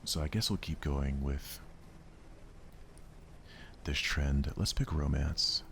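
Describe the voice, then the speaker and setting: A soft-spoken man doing ASMR let's play commentary on a game, murmuring to himself without hurry over some audible background noise. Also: extremely low pitch, takes it slowly, gravelly. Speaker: gaming streamer playing a visual novel.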